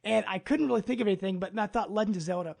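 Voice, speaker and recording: A man's voice: high-pitched, racing, creaky, darkish tone. A man hosting a casual podcast chat in an enthusiastic, rambling, informal way. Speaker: podcast host.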